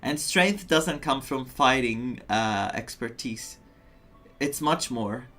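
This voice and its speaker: Reflective male game streamer, middle-pitched. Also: slow pace, pushed and bright, soft background music. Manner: empathetic, reflective, repeats himself for emphasis. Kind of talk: casual live commentary on a video game.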